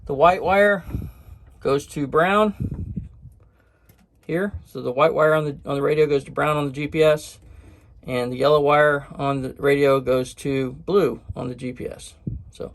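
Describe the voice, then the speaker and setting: A man presenting an informal how-to tutorial in a halting, step-by-step way, repeating technical details as he goes. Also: fairly high pitch, steady tone, gravelly. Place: at a boat console, in shade on a sunny day.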